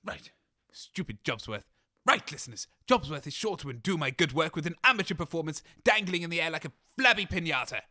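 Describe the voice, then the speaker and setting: A man's voice: a little high, sing-song, brisk, sharp tone, heavily acted. A British man playing a conceited, mischievous radio co-host with a theatrical, pompous delivery in a comedy audio drama. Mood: scheming.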